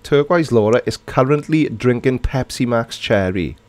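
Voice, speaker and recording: A man's voice: mid-range voice, lively intonation, normal speed, a little mellow. A middle-aged man from Wales with a Welsh accent, casually chatting and reading viewer comments aloud on a livestream. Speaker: livestream host.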